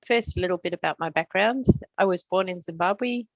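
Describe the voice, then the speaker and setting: A middle-aged woman from Australia, a translator, giving a prepared presentation in a measured, explanatory way with touches of humor. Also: on the low side, no echo, highs cut off.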